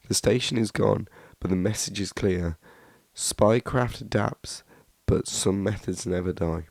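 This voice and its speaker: A man's voice: deep voice, vocal fry, a little mellow, no echo. A man reading a scripted documentary narration, stumbling now and then and restarting his sentences. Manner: reads a script with frequent slips and restarts.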